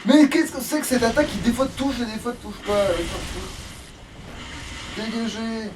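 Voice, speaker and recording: A man's voice: very high voice, animated, moderate pace. A man doing casual let's play commentary, grumbling and swearing as he plays. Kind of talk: casual gaming commentary while playing. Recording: distant mic, faint background noise.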